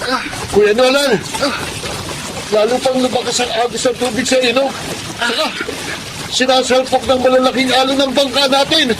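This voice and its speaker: High-pitched, frightened young boy. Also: little pitch movement, moderate pace, pauses often, background noise. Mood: frightened, exhausted. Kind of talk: Filipino radio drama acting.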